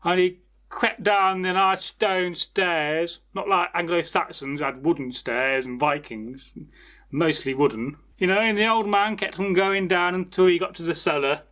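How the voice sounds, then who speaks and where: A man's voice: sits high, fairly even intonation, a little slow, a bit bright. A man telling a folktale informally as a storyteller, chatty and rambling, with asides to his listeners. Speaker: storyteller, channel host.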